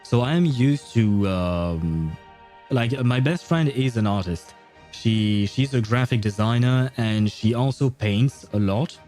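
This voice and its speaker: A man's voice: mid-range voice, slow pace, a little mellow, soft background music. A man hosting a casual gaming livestream, chatting with viewers in a rambling, hesitant way. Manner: hesitant, rambling, chuckling often. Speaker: livestream host.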